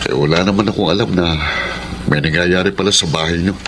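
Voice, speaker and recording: An actor with a Filipino accent playing a concerned, excitable uncle in a radio drama. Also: male voice, low voice, brisk, heavy background noise, natural voice. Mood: grave.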